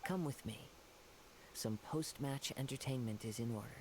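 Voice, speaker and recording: An actor playing a calm, poetic young wandering samurai in a video game, speaking formally and courteously in a measured way. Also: male voice, mid-range voice, background noise, lightly acted. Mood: musing, composed. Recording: game music behind the voice.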